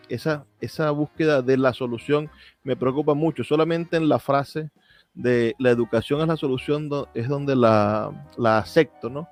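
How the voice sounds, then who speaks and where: A man with a Venezuelan accent hosting a radio show in an earnest, measured, reflective way. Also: mid-range voice, darkish tone. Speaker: radio host. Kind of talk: radio show introduction and interview. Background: music.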